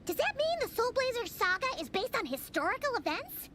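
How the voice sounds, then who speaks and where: A woman voicing a tiny, childlike, chirpy fairy companion in a video game, squeaky in tone. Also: very animated, a little fast, sharp tone, slight background noise, exaggerated voice. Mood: curious.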